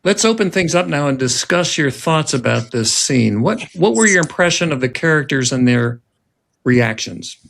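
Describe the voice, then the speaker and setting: A man hosting a script reading as writer-director, narrating in a deliberate, suspenseful storytelling manner. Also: a little high, lively intonation, gravelly, slight background noise. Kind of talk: dramatic narration of screenplay action, then discussion. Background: loud instrumental music.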